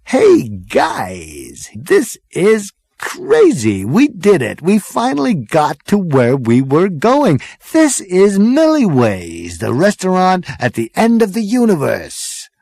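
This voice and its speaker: A man's voice: high voice, wildly swooping pitch, takes it slowly, vocal fry, darkish tone, dry recording, voice pushed up, exaggerated voice. A man voicing a brash, manic, boastful male alien hipster in a comic audiobook, slangy and exuberant. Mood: elated.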